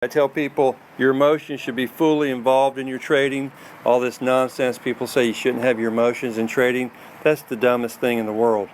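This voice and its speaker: A man talking casually to camera in a rambling, folksy way, doubling back on his words. His voice sits in a middle pitch range, plain and unhurried. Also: flat delivery, slight background noise, rough recording. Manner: rambling, folksy, repeats himself. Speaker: trading video maker.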